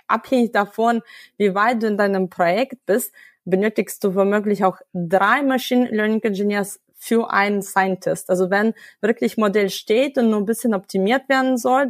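A woman from Ukraine, talks through her work as a guest on a podcast. She searches for words and restarts often, with a steady middle pitch. The sound is dry and close. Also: no room sound. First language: Russian. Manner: thoughtful, many fillers and restarts. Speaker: guest, data science expert. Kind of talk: podcast interview.

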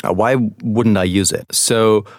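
Low-voiced male interviewer, halting delivery. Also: steady tone, no echo, studio quality. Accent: American-sounding English learned as a second language. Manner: hesitant, many fillers and restarts. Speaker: host.

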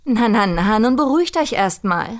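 A woman playing a kind young fairy-tale heroine in the manner of 1950s animated dubbing, soothing and motherly with old-fashioned diction. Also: a little high, normal speed, natural voice.

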